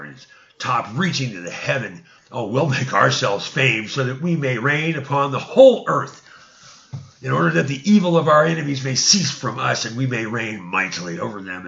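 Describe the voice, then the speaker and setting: A man reading an ancient religious text aloud as a narrator, in a deliberate storytelling manner, stumbling over words now and then. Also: mid-range voice, vocal fry, sharp tone, lo-fi sound.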